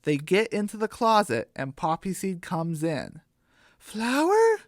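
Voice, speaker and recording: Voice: medium pitch, expressive, unhurried, dry recording, clean studio sound. A storyteller narrating a scripted children's radio drama.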